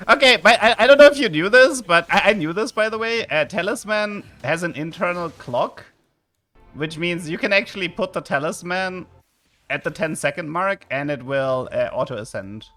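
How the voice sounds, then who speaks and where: Man, German accent, game streamer, chatty, bright tone, animated pitch. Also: normal speed, soft background music. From southern Germany. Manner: chatty, with sudden excited outbursts. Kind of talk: live game commentary and chat with viewers.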